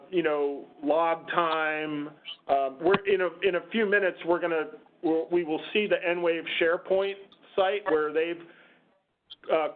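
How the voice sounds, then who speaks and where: A man moderating an unscripted meeting discussion on a conference call, speaking in a halting, hesitant way. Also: a little high. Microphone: conference call line. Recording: muffled, phone-like conference call.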